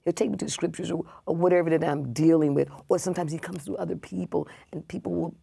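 A woman in her sixties, a pastor talking as a guest in a casual interview, emphatic and repeating her points, often dropping soft and breathy. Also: on the low side, fairly even intonation, fast. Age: 60s.

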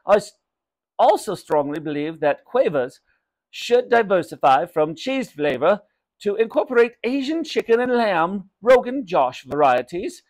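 Excitable, flattering storybook shopkeeper, acted by a man, high and swooping, exclaiming effusively. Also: measured pace. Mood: excitable, emphatic.

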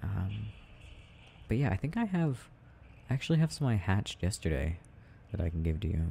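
A young man playing an affectionate, gently teasing boyfriend in an ASMR audio roleplay, murmuring and rambling as he games. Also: fairly low pitch, very animated, moderate pace, creaky, a little hiss or hum. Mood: absorbed, rambling.

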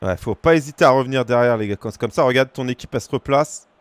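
A man in his thirties from France, streaming a football game and chatting casually with viewers, breaking into shouts at big moments. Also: medium pitch, fast talker, no echo. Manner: excitable, shouts at goals, chats casually with viewers. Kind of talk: live gaming commentary to chat. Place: small room at home.

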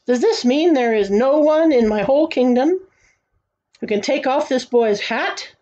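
A woman voicing a blustering, puzzled king who booms commands. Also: animated, moderate pace, mellow. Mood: bellowing. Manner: booming commanding calls. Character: blustering puzzled king, middle-aged man. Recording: dull lo-fi home recording.

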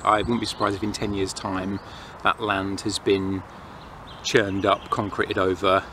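A man in his thirties with an English accent, rambling casually and reflectively to camera with his opinions while outdoors in a forest. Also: lowish voice. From England. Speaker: outdoor vlogger. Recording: noisy outdoor ambience, distant planes and traffic.

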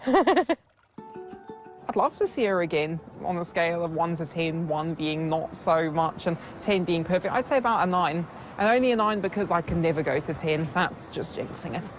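A man's voice: high voice, expressive, moderate pace. A young man on a date outdoors by a river, talking in a nervous, wordy, self-deprecating way. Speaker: dating show participant. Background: music.

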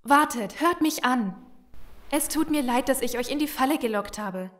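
A desperate young woman in a zombie radio play. Her voice is high, and she speaks with earnest urgency as someone who betrayed others and now wants out. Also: expressive, moderate pace, fairly bright tone. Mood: pleading, bitter. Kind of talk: German audio drama acting.

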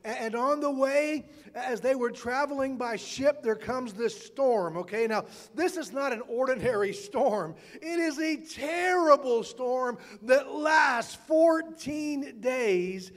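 Older male pastor, notably high voice, earnest emphatic sermon delivery, big reverberant hall. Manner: earnest, emphatic preaching with drawn-out key words. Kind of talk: sermon for an online church broadcast. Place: church stage in a large auditorium.

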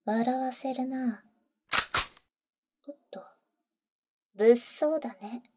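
A woman playing a tender, protective vampire older sister in a Japanese ASMR audio roleplay, soft and breathy with cool, boyish phrasing, close on the mic. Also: a little high, very slow, noisy background. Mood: cold, defiant. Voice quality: soft, breathy, often whispering. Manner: husky, cool, boyish speech. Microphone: close studio microphone, dry.

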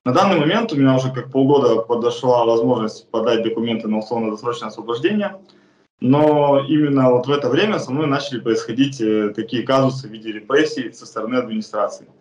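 A man's voice: medium pitch, fast, a little mellow, reverberant, rough recording. A man in his thirties telling his own case straight to a close camera in a bare indoor room, speaking plainly and earnestly with frequent hesitations. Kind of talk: first-person testimony to camera.

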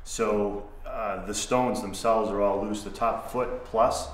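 Thirties male contractor, low-pitched, methodical technical explainer, echoey barn with faint hiss. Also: fairly even intonation, takes it slowly, distant mic. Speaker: masonry contractor. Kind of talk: explaining restoration work on a site tour. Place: large old barn and outdoor ruins.

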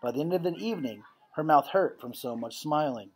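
A man's voice: a little high, lively intonation, fairly quick, slight background noise. A man in his thirties reading a storybook aloud like a teacher, telling it expressively and acting out the dialogue. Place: indoor classroom. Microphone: webcam or laptop at desk distance.

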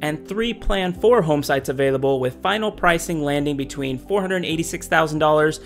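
A man who works as a real estate agent, narrating a scripted update on new home prices and incentives in a steady, informative way. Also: on the high side, normal speed, creaky, soft background music.

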